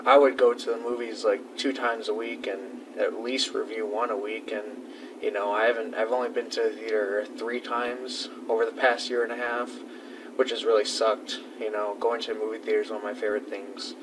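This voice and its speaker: A man of twenty-two, a student, answering interview questions in a relaxed, conversational way. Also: lowish voice, fairly quick, background noise. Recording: distant mic, music behind.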